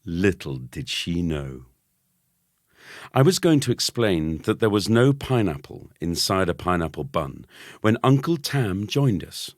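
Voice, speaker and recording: A man reading a scripted children's story as narrator, with an expressive, wry delivery. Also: low-pitched, measured pace, dry recording, clean studio sound. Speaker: audiobook narrator.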